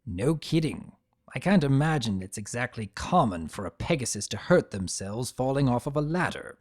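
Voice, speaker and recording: Sardonic statue spirit, male played by a narrator: mid pitch, animated, wry storybook asides. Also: normal speed, dry recording. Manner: dry, conversational asides.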